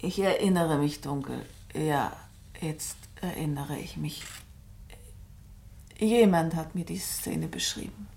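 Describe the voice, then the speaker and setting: An actress playing a poised, guarded novelist in a German radio play, polite but cool and evasive. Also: female voice, low voice, slow, gravelly, darkish tone, heavily acted. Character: poised, guarded female novelist receiving a probing visitor.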